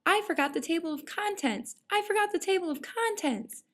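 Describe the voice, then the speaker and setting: A woman's voice: very high-pitched, expressive, fairly quick, voice pushed up. A woman voicing a flustered male storybook narrator who urgently interrupts and repeats himself.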